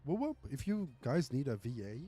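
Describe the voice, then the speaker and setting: A man's voice: mid-range voice, sing-song, moderate pace, over music. A man streaming a game, giving casual running commentary.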